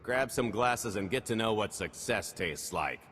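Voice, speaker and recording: An actor playing a roguish, cheeky, pleasure-loving scoundrel in a video game, talking in a glib, breezy way. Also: male voice, lowish voice, lively intonation, unhurried, fairly bright tone. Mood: cheeky, celebratory. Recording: reverberant game hall, faint music.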